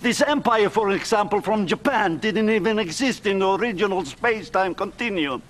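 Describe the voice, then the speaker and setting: An actor playing a nervous scientist defector who pleads urgently to be believed, in a game cutscene. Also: male voice, high voice, animated, normal speed, a bit bright. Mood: pleading. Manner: pleading, insistent.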